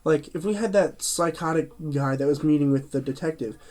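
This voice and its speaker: A man's voice: a little high, a little fast, darkish tone. Stuffy-nosed man chatting through a review. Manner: chatty and rambling, with many fillers and restarts. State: stuffy, a bit nasal from a cold. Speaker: movie reviewer, channel host. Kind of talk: casual review vlog.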